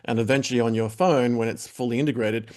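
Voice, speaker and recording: A man's voice: medium pitch, fairly even intonation, fast talker, a little mellow. A man hosting a web TV interview, wrapping up with upbeat, rambling plugs full of fillers. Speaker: web TV show host. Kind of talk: interview wrap-up with audience plugs.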